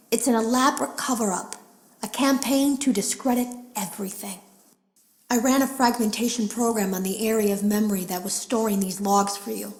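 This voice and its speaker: A woman playing a wary, hushed beta tester in an echoey room. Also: medium pitch, close to an everyday voice. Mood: urgent, resolute. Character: anxious female game beta tester leaving logs. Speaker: game tester recording logs. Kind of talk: scripted game voice acting.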